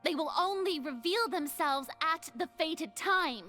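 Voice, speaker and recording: A woman's voice: very high voice, animated, a little slow, bright, forceful tone, faint music behind, full character voice. An actress playing a self-important young female god in a game, grandiose in speech but easily flustered. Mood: haughty. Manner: grandiose, pompous, falters when pressed. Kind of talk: anime-style game voice acting.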